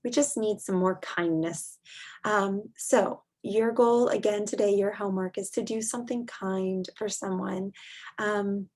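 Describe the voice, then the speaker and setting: A woman's voice: mid-range voice, fairly even intonation, measured pace, darkish tone. A woman teacher reading a picture book aloud to young children, expressively and in simple words, with chatty asides.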